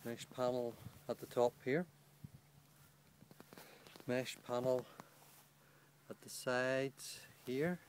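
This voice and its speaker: A man's voice: medium pitch, steady tone, extremely slow, long pauses, slight background noise. Man casually showing a tent. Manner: plain, matter-of-fact, pointing out features. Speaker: outdoor gear reviewer. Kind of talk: casual product walkthrough. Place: outdoors on a lawn beside a small tent. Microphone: handheld camera held by the speaker.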